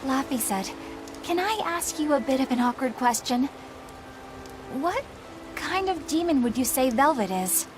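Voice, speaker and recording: Dutiful young woman, high voice, formal, earnest, game acting, faint music. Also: animated, a little slow, long pauses. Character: proper, dutiful, stubborn young woman warrior. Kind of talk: English video game voice acting.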